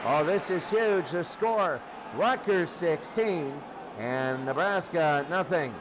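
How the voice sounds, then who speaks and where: A high-voiced man does live play-by-play for a football game with an excitable delivery. He follows each down over a clearly noisy recording. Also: slow. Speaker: play-by-play announcer. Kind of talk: live American football commentary.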